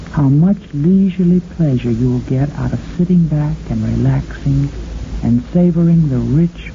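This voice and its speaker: Slow, sultry woman on vintage radio. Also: deep voice, mellow, slight background noise. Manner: flirtatious, cooing, addresses listener with pet names. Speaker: radio host and singer. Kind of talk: intimate 1940s radio monologue and sponsor pitch. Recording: old muffled radio recording with organ. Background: organ music.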